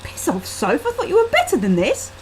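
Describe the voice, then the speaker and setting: A woman's voice: high-pitched, huge pitch swings, brisk, voice pushed up. A woman voicing an irritable, disdainful young female graduate in a short story. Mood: angry. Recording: faintly noisy laptop webcam microphone.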